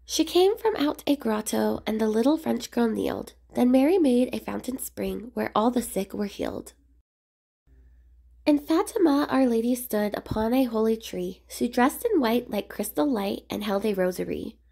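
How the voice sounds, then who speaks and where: A woman reading a rhyming children's picture book aloud in a measured, expressive sing-song way. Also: on the high side, vocal fry. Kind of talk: storybook read-aloud narration of rhyming verse.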